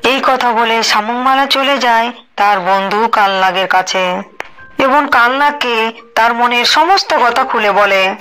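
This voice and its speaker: A woman's voice: a little high, a little slow, bright, forceful tone, clean tone, faint music behind, poor audio. A woman narrating a scripted story as the storyteller.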